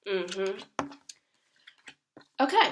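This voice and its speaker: A young woman with a hoarse voice from a cold gives a chatty, rambling tarot reading full of fillers. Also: medium pitch, very animated, very slow, heavy background noise. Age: young adult. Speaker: tarot reader. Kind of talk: casual monthly tarot reading.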